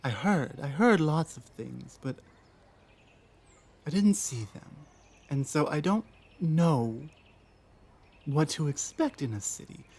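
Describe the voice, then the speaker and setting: A man playing an earnest, honorable orc fugitive in an ASMR roleplay, speaking in a hesitant, halting, humble way. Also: on the high side, a little mellow, breathy edge, exaggerated voice. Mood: uneasy, confiding. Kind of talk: ASMR roleplay audio drama.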